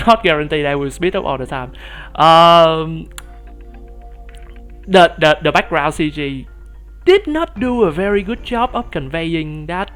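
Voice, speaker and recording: A man's voice: on the high side, vocal fry, fairly bright tone. A man with a youthful, boyish voice streaming casually, rambling with many fillers and restarts and laughing often. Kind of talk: casual live-stream commentary.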